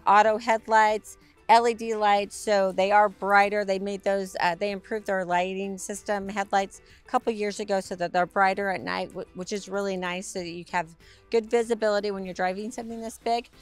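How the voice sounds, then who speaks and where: A woman with a raspy, hoarse voice giving a bubbly, upbeat sales tour of a motorhome. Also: medium pitch. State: hoarse from a week of talking. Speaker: RV sales presenter. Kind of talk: enthusiastic walkaround tour.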